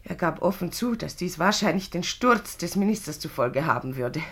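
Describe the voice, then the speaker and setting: An actress playing a lovelorn, wounded female secretary who confesses haltingly and intensely in a 1950s German radio play. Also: fairly low pitch, lively intonation, breathy edge. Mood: subdued confession. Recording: 1950s radio drama recording.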